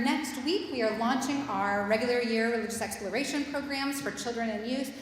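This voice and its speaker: A woman's voice: a little high, a little hiss or hum. A woman giving friendly, loosely phrased announcements to a church congregation. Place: large church sanctuary. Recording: echoey hall with long reverb.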